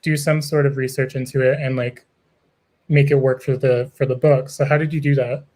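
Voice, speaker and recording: A man's voice: medium pitch, little pitch movement, normal speed, darkish tone. A young man in his twenties hosting a virtual author talk for a bookshop, asking casual questions full of "like" fillers. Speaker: bookshop event host. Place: home room with shelves. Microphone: video call webcam.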